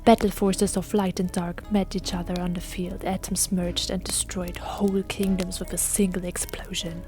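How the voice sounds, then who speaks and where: A woman reading scripted fantasy audio play narration in a solemn, measured storytelling manner. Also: on the low side, level tone. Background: music.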